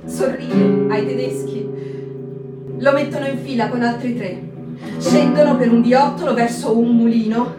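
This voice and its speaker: A woman reads a wartime account in a high, ringing voice, drawing her lines out and sometimes half-singing them. She sounds set back from the microphone in a roomy space, with loud guitar playing behind her. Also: measured pace. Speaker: reader in a performed reading. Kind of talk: dramatic recitation. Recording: roomy radio broadcast from a distant mic. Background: loud guitar music.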